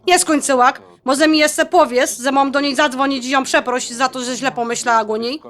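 An actress playing a feisty, sarcastic bride-to-be in an audio drama, mixing with English slang. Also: female voice, sits high, steady tone, a little fast, a bit bright. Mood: annoyed, sarcastic. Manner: sarcastic, sassy. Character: feisty Polish-American bride-to-be, quick-tempered and sarcastic.

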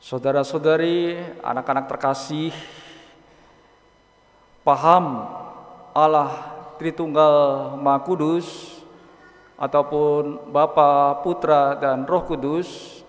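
Priest preaching real slow at a lectern mic, middle-aged guy, big echoey church. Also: fairly high pitch, fairly even intonation. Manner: slow, measured delivery with long pauses between phrases. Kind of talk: sermon and gospel reading at Mass. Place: large church. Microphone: stand mic at a lectern. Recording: long church reverb.

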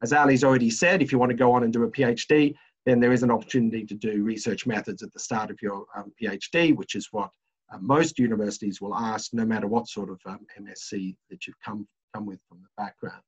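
A man's voice: on the low side, fairly even intonation, fast, breathy edge. An older man with an Australian accent, giving a university webinar presentation from a home office over a video call, hesitant with many fillers. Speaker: university lecturer. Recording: dull lo-fi video call audio.

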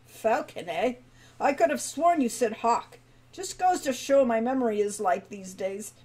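A woman's voice: fairly high pitch, animated, unhurried, a little mellow. A woman voicing a peevish, rambling old male dwarf guildmaster who stammers as he talks.

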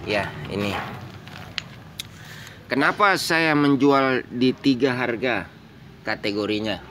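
A man's voice: mid-range voice, slow pace, background noise. A man with a slightly nasal voice from a cold, chatting as he shows and explains the stones he sells, filming himself with a phone outdoors. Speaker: seller of stones. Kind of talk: casual selling demonstration. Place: outdoor yard, tiled floor. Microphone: handheld phone camera.

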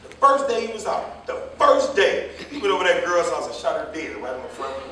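Guy acting old gossipy cab driver in a stage play, high voice, folksy rambler, big echoey room. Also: sing-song, a little fast, bright, forceful tone. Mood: storytelling. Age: older. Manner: folksy, rambling storytelling in colloquial dialect. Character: gossipy, combative old male jitney driver, scolding the young. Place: theater or hall staged reading, far mic. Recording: echoey hall, distant mic, faint noise.

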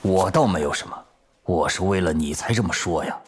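Male actor as cautious middle-aged police chief, low husky voice, earnest delivery, audio drama. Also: fairly quick. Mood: concerned, earnest. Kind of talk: Chinese audio drama acting.

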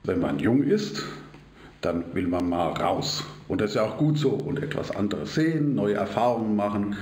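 A man's voice: lowish voice, expressive, normal speed, a little mellow, roomy sound, slight background noise. A middle-aged man, a school principal, speaking earnestly in an interview in his office. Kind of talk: interview answer.

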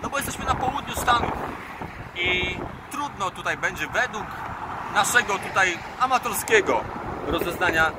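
A man in his forties, a tour guide, explaining history informally to camera in a halting way, with pauses mid-phrase. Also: sits high, lively intonation. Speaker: tour guide and travel vlogger. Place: outdoors by a road bridge. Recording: loud outdoor traffic noise. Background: passing cars and vehicles.

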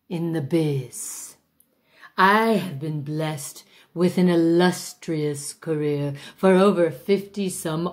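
A woman playing a pompous, vain older actress who teaches a masterclass in a grand, drawling, self-important manner. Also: sits low. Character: pompous aging female stage diva, vain. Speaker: acting teacher in a mock masterclass. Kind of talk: comic character monologue.

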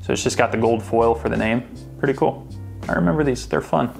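A man's voice: medium pitch, brisk, vocal fry, faint music behind. A man hosting a sports card pack opening, chatting casually and thinking aloud as he sorts through the cards. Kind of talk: casual unboxing commentary.

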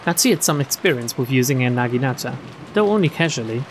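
A man reading a visual novel aloud as a streamer, with expressive narration and casual asides. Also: mid-range voice, fast talker, slight background noise.

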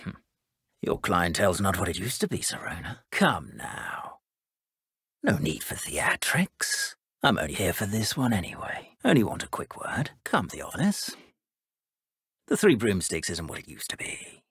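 An actor playing a scheming, condescending aristocratic wizard villain in a video game. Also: male voice, sits low, huge pitch swings, unhurried, a bit bright, no room sound, heavily acted. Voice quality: husky, smooth and condescending. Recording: dry studio recording.

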